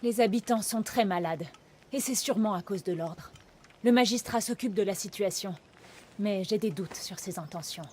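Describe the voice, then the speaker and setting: A woman's voice: medium pitch, moderate pace, a little mellow, a little hiss or hum, lightly acted. A woman acting a determined young huntress in a dubbed video game, earnest and urgent. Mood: serious, resolute. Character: determined young huntress worried for her stubborn father.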